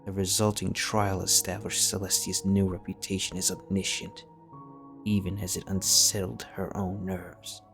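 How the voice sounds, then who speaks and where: A man narrating a fanfiction audiobook in a steady, measured storytelling voice. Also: low voice, gravelly, soft background music.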